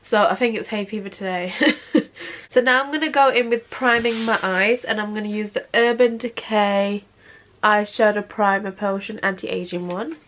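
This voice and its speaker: A woman's voice: mid-range voice, steady tone, normal speed, a bit bright, slight background noise, low-quality recording. Sniffly young woman, chatty. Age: 20s. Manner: chatty, keeps saying "obviously". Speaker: makeup vlogger. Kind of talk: casual makeup tutorial talk. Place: bedroom, sitting on a bed.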